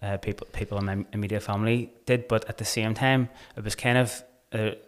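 Podcast guest, thirties man, Belfast accent, studio desk mic, steady low delivery, searching restarts. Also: brisk. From Northern Ireland. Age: 30s. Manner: earnest, searching, frequent restarts. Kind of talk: casual conversation. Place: small bright studio room. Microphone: desk mic on boom arm.

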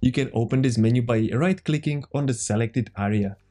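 A man in his thirties with a Czech accent, calmly walking viewers through a computer tutorial step by step as the channel's host. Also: mid-range voice, fairly quick, soft background music. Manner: calm, methodical step-by-step explaining. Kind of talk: screen-recorded software tutorial. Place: home room, indoors.

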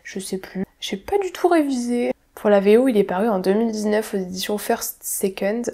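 A young woman chats casually about books she has read, her voice mellow and relaxed. She talks to the camera at home, hedging and restarting with plenty of fillers. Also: medium pitch, moderate pace. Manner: chatty, self-deprecating, many fillers and restarts. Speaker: book vlogger. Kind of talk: casual book review talk. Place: home room, indoors.

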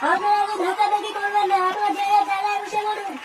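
A performer voicing a bossy young male club organiser in a cartoon comedy, giving brisk orders. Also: extremely high pitch, monotone, distant mic, a faint crowd, slight background noise. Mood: announcing.

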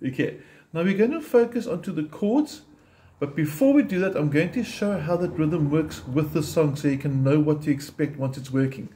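A man's voice: on the high side, normal speed, warm tone. A man teaching ukulele chords step by step in a patient, methodical way, recorded in a home music room with the camera close on his instrument. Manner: patient, methodical, explains while playing.

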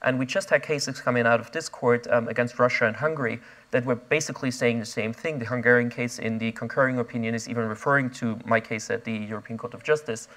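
A young man, speaking onstage at a conference, quick and restless with many fillers and restarts, recorded on a lapel mic. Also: mid-range voice, fairly even intonation, fairly bright tone. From Austria. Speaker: invited speaker. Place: conference stage before an audience.

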